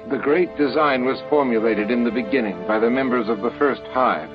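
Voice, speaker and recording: A man's voice: medium pitch, normal speed, dull audio, natural voice. A proud male alien leader, as acted out by an audiobook reader, speaks with formal, earnest emphasis. He is defensive about his people, and music plays behind him. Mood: explaining. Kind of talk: audiobook character dialogue.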